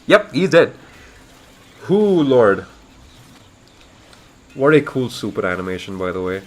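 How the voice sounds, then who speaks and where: A man from India doing live, excitable fighting game commentary, his voice getting raspy, swinging between hype and quiet asides. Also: medium pitch, a little slow. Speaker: esports commentator.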